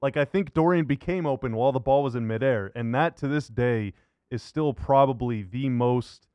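A man with a medium-pitched voice hosts a casual sports conversation. He talks with easy enthusiasm, and the recording is dry and close. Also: normal speed, a little mellow, no room sound. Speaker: podcast host.

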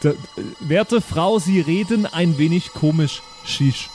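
Man playing a high-voiced, bumbling space boy. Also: a little slow, a little mellow, faint music behind, close to an everyday voice. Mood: awkward. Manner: hesitant, bumbling. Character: awkward, cheeky young stylish space-traveler boy.

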